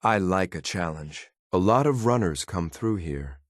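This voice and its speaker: An actor playing a loyal, disapproving android soldier in a video game, speaking in a formal, clipped, deadpan and blunt way. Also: male voice, low-pitched, a little slow, no room sound, studio quality. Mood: measured, matter-of-fact. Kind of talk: video game companion voice lines.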